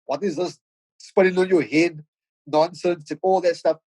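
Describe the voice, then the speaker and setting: A man's voice: on the high side, fairly even intonation, normal speed, darkish tone, dry recording, low-quality recording. Middle-aged Cape Town-accented man, reflective. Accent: South African, Cape Town. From South Africa, Cape Flats in Cape Town. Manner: reflective, with frequent stammered restarts. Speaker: podcast guest, rapper and producer. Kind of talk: casual podcast conversation.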